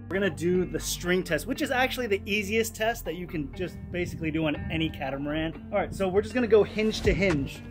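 A man in his forties vlogging in an excitable, animated, jokey way, filming himself in a car and on a boat. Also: high voice. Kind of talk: travel vlog narration. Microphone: camera held at arm's length.

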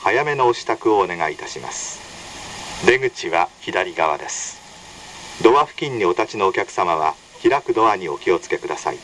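A man reading a scripted, formal and measured station announcement as a recorded train announcer. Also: deep voice, expressive, a bit bright, low-quality recording. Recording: recorded over train speakers with faint noise. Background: train cabin hum.

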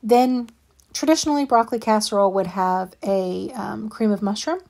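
A woman's voice: medium pitch, moderate pace, vocal fry, darkish tone. A woman narrating her home cooking in a chatty, plainspoken way, with frequent ums and asides. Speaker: home cook and channel host. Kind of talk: casual cooking voice-over. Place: home kitchen.

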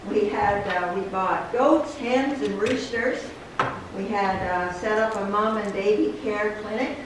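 A woman's voice: medium pitch, a little slow. A middle-aged woman giving a chatty, enthusiastic announcement at a church pulpit. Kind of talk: casual announcement to a congregation. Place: small wooden church sanctuary, at a pulpit. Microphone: stand mic at the pulpit, far camera. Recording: echoey church room from a distant camera, background noise.

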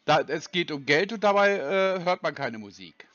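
A man's voice: a little high, lively intonation, moderate pace, fairly bright tone, dry recording. A man baiting a scam caller on the phone, stubborn and mockingly dry. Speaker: scam-baiter taking a call. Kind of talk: phone prank call. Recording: muffled phone call audio.

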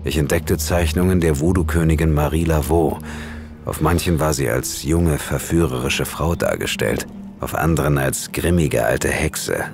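Very deep-voiced male investigator narrator. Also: moderate pace, vocal fry. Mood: composed suspense. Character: male investigator recounting his eerie case, tense and composed. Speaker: narrator and lead character of a horror audio drama. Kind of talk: scripted first-person horror narration. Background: music.

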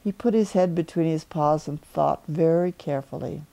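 An elderly woman reading a children's story aloud in an expressive, deliberate way, as a storyteller in her living room. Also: low-pitched, vocal fry. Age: 70s or older.

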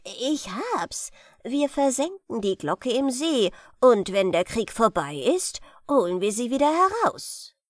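A pompous, foolish small-town mayor from a storybook, put on by a woman reader. He speaks in a solemn, ponderous way, with lively swings in pitch. The recording is close and dry. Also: takes it slowly, no room sound, full character voice. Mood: pleased, decisive. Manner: solemn, ponderous, self-important.

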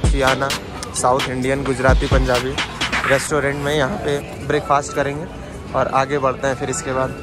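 A man's voice: mid-range voice, completely flat delivery, fairly quick. A young man from Lucknow, casually narrating a walking tour of a busy street at night, talking to viewers like a guide. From Lucknow, India. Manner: chatty, addresses viewers as guys, guide-like pointing out sights. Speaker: travel vlogger. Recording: loud street music over voice. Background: loud music from the street.

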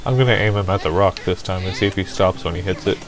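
A man's voice: deep voice, fast talker. A man giving casual video game commentary, thinking aloud in a musing, self-deprecating way. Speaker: gamer, let's play host. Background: video game music.

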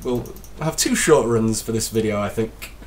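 A man with a slight cold, casually commentating his gameplay as a let's play host, excitable and self-deprecating. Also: lowish voice, normal speed, far from the mic, slight background noise.

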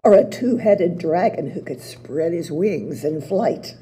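A woman's voice: lowish voice, expressive, measured pace, dark tone, slight background noise, voice pushed down, rough recording. Older woman doing a sly envious sister. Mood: sly, mock-sweet. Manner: sly, mock-sweet, sing-song rhyming. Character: scheming, envious elder sister. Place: small theater stage with audience. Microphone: table mic.

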